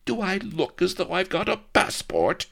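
A man's voice: mid-range voice, sing-song, normal speed, creaky, fairly bright tone, voice pushed up. A man voicing a vain, imperious young noblewoman with a posh upper-class English accent in a comic story. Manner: posh, affronted, commanding.

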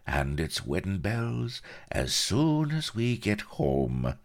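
A man's voice: on the low side, sing-song, a little slow, a bit bright, pitched above the performer's own voice, full character voice. A man voicing a sly, smug Irish rogue colonel who talks in a self-justifying, wheedling way in a comic audiobook.

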